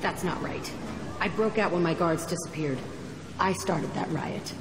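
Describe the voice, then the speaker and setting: Woman acting tough young female ex-convict, low voice, embittered, blunt, clipped, foul-mouthed, game dialogue, noisy ambience. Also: a little slow, lightly acted. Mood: bitter, defensive. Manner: blunt, terse, profane.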